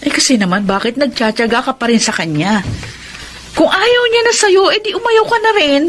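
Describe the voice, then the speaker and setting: A woman's voice: high-pitched, lively intonation, a little fast, fairly bright tone, a little hiss or hum. A Filipino woman playing a frank, protective middle-aged confidante with a sarcastic edge in a Tagalog radio drama. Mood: scolding, exasperated. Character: blunt, nosy, protective older woman confidante.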